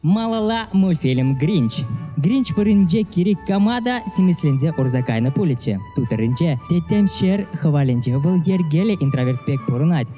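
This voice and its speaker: A man with a very dark, soft-edged voice, a native Chuvash speaker. He narrates a scripted film review at a slightly raised pitch, with music underneath. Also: animated, moderate pace.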